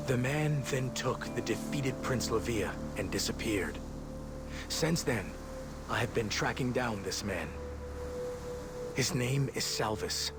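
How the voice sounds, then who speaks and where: An actor playing a grave, determined militia captain in a fantasy game, speaking in a solemn, measured way. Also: male voice, medium pitch, close to an everyday voice. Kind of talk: dramatic scripted game narration. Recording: loud music under the voice.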